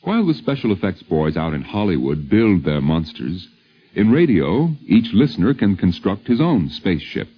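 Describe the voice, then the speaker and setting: A man's voice: sits low, very animated, moderate pace. An American man narrating a 1950s radio documentary from a script, with polished, wry, theatrical phrasing. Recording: muffled 1950s radio broadcast.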